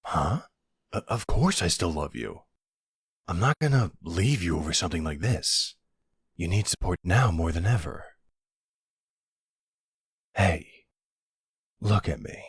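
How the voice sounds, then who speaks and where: A man playing a gentle, worried boyfriend in an intimate roleplay audio drama, soothing and reassuring with a hesitant delivery. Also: lively intonation, slow pace, long pauses, dry recording, clean studio sound, full character voice. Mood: caring, reassuring. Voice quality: low, husky voice.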